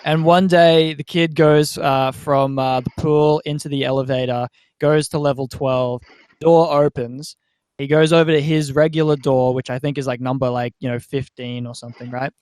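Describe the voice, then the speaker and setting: A man hosting a podcast, telling stories in an animated, casual way and often checking in with his listeners. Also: mid-range voice, normal speed, smooth, dry recording. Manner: animated storyteller who often checks in with "right?". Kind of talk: casual storytelling chat.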